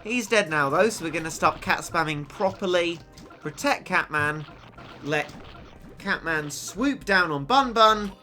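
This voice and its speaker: Lively, bright young man gaming. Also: on the high side, a little slow, soft background music. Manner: excitable, cheeky, stammers on repeats. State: losing his voice. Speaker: gaming YouTuber. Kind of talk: mobile game commentary.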